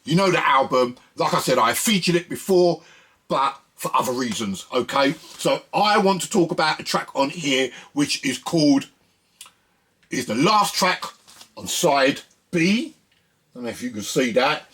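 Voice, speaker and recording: Husky-voiced man chatting about music. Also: on the high side, lively intonation, a little slow, fairly bright tone. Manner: conversational, repeats phrases for emphasis. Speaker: music vlogger presenting records. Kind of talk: casual music commentary to camera.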